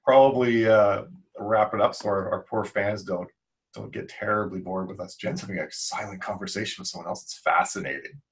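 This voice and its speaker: A man's voice: lowish voice, steady tone, brisk, rough recording. A man hosting a casual group podcast, chatting in a rambling, jokey way. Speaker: podcast host.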